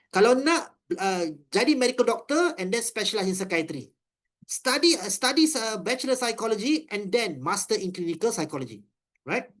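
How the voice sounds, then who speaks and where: Fast-talking Malaysian speaker. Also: male voice, high-pitched. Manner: fast, animated, mixing in Malay phrases. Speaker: guest speaker, industrial psychologist. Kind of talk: webinar talk and Q&A. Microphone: video call.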